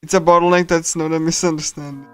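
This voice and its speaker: A man livestreaming a game, casually commenting and reading aloud in a halting way, often repeating himself and stumbling. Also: on the high side, level tone, clean tone. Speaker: streamer. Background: game music.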